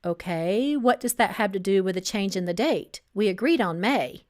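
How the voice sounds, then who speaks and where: Storybook fiancé read by a woman: stern, edgy, incredulous, resentful, with lively swings in delivery. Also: medium pitch, normal speed, lightly acted. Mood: firm. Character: stern, exasperated engaged man, resentful and suspicious.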